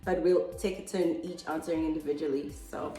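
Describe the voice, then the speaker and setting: South african mom vlogging, lots of ums, kinda echoey room, bit of music playing. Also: female voice, sits low, fairly even intonation, brisk, mellow. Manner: chatty and hesitant, with frequent ums. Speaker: mother and family vlogger. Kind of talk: casual family Q&A chat.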